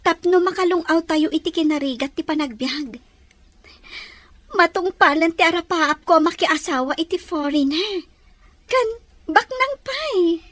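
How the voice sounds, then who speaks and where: A woman's voice: very high voice, animated, measured pace, fairly bright tone, dry recording, heavily acted. A woman playing an ambitious, poor teenage Filipina with an Ilocano accent who dreams of a better life, in an audio drama. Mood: happy, hopeful. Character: ambitious poor young Filipina, sixteen, dreaming of marrying a foreigner.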